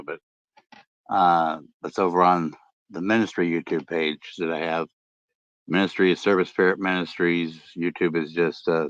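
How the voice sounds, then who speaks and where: A middle-aged man with a deep voice, chatting casually late at night and rambling with many fillers and restarts. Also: fairly bright tone, no room sound. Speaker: podcast host and ham radio vlogger. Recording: dull lo-fi dry sound.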